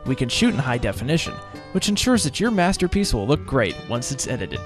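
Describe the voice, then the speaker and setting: Guy doing a station promo, animated delivery, guitar music clearly behind him. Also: medium pitch, fairly quick. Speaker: announcer for a community media station. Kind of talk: scripted promotional narration.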